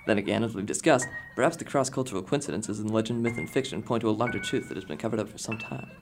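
A man's voice: lowish voice, fast talker, creaky, over music. An actor playing a brainy, resolute fourteen-year-old boy who talks like a methodical, wordy planner in a radio drama. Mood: analytical, resolute. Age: teenager. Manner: formal, wordy, analytical.

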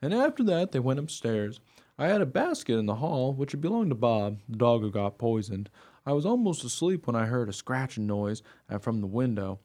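A man's voice: medium pitch, very animated, fairly quick, warm tone, close to an everyday voice. An actor playing an eager, trusting young dog who tells his own story in a radio play with innocent earnestness. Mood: earnest, guileless. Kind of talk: first-person radio play narration.

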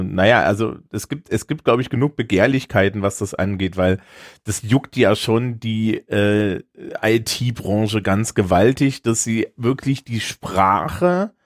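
A man's voice: lowish voice, no echo. A man with a light Thuringian accent chats on a tech podcast in a wry, self-deprecating way. The sound is close and dry, with no room around it. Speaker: podcast co-host. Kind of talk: casual chat.

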